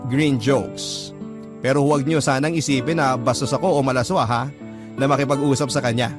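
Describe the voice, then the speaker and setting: Man narrating a love story over music. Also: fairly high pitch. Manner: confiding, addresses the host directly. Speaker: narrator reading a listener's letter. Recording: clear music bed behind narration.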